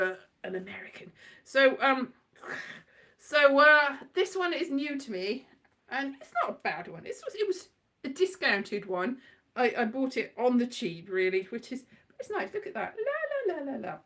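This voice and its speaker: A woman's voice: fairly high pitch, a bit bright. A woman chatting in a casual, rambling monologue, with a scatterbrained, giggly, self-deprecating manner. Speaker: performer and host, former journalist.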